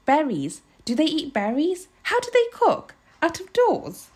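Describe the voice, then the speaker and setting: A woman's voice: high-pitched, huge pitch swings, unhurried, a little mellow, voice pushed up, heavily acted. A woman voicing an anxious, easily flustered tiny wife in a storybook, fretting and moaning her worried questions. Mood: fretful.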